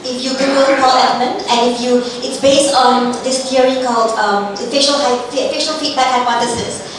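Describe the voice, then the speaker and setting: High-voiced woman moderating in a hall. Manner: bright, laughing easily. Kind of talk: moderating a panel Q&A. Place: large seminar hall. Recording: echoey hall, distant mic, noisy.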